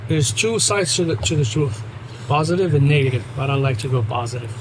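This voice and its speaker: Moroccan-accented guest in his 30s, fairly high voice, plain emphatic English, noisy recording. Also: animated, a little fast. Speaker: guest, professional fighter and trainer. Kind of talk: casual interview chat. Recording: noisy background.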